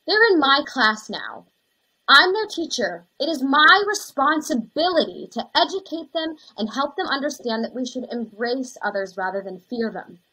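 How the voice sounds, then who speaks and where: Woman acting young female teacher, earnest, caring, bright husky-edged voice, scripted drama. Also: high voice, sing-song, normal speed, exaggerated voice, low-quality recording. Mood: earnest, tender. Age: young adult. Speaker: actor playing a teacher. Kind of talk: scripted dramatization on video call. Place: indoors, plain backdrop, video call.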